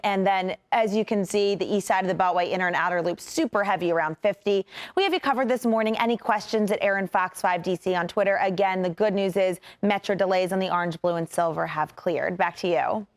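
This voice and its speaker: A woman with an American accent delivering a live TV traffic report as the show's reporter. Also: mid-range voice.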